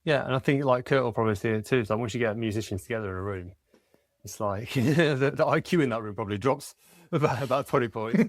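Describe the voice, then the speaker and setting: A man's voice: mid-range voice, fast talker, no room sound, clean studio sound. A man talking casually as a podcast guest, rambling and repeating phrases for emphasis. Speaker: podcast guest, music educator. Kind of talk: casual chat.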